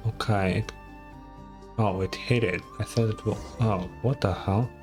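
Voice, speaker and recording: A man giving casual, skeptical let's play commentary as a game streamer, with a deadpan delivery and casual swearing. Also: lowish voice, measured pace, over music.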